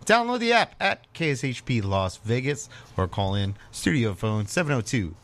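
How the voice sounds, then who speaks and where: A man's voice: medium pitch, big pitch swings, moderate pace, a bit bright. A man hosting a sports radio show, talking casually. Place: radio studio.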